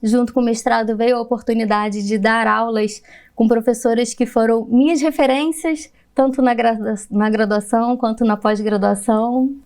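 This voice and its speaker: Woman, rather high voice, patient explanations, laughs often, podcast guest. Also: fairly even intonation, moderate pace, darkish tone, smooth. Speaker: pediatric dentist and lecturer. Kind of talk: podcast interview.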